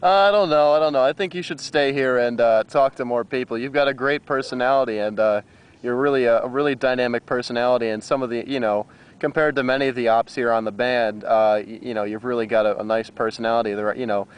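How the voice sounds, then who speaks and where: Hesitant American man with a clear voice. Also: mid-range voice, fairly even intonation, fast. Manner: hesitant, rambling. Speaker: amateur radio operator. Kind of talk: casual radio contact chat.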